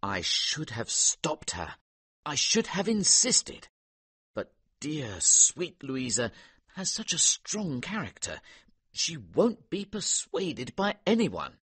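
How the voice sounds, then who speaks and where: A man's voice: mid-range voice, sing-song, a little slow, a bit bright, slightly rough, no echo, pitched above the performer's own voice, full character voice. A man voicing an earnest naval captain.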